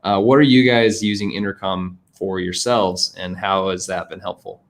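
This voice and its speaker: A man in his thirties interviews a guest in a casual, chatty style. His voice sits a little low, and he speaks over a video call from indoors. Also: steady tone, normal speed. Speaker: interviewer, host. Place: plain indoor room.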